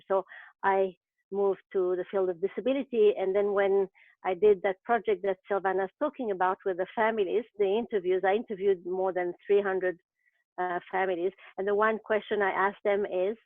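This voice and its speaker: A woman's voice: mid-range voice, flat delivery, a little fast, no room sound, phone-quality sound. A woman from France with a French accent, speaking reflectively with many fillers in a webinar. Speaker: program co-founder presenting. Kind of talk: webinar talk. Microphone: video call.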